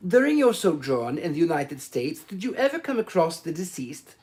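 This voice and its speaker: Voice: medium pitch, big pitch swings, moderate pace, a little mellow, slightly rough. A reader voicing a fussy, precise middle-aged Belgian detective questioning witnesses in an audiobook. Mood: probing.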